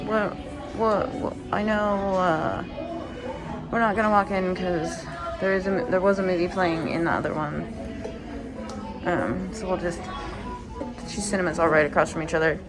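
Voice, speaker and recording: A woman's voice: lowish voice, fairly even intonation, normal speed, long pauses, music in the background. A woman walking through a cruise ship, filming and chatting as she points out each spot. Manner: chatty guide pointing things out. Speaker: vlogger giving a ship tour. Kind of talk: walking tour narration.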